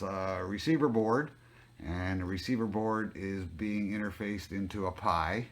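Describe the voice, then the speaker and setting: A man giving an informal technical demo as a hobbyist, narrating step by step in a plain-spoken way. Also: sits low. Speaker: hobbyist demonstrating a homemade telescope automation setup. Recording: noticeable background noise, homemade video.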